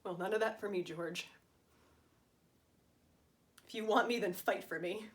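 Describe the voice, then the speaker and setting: A woman's voice: mid-range voice, measured pace, lightly acted. A woman acting a dramatic stage monologue as a wounded, defiant wife pleading fiercely with her husband, emphatic and emotionally raw. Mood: bitter, resolute.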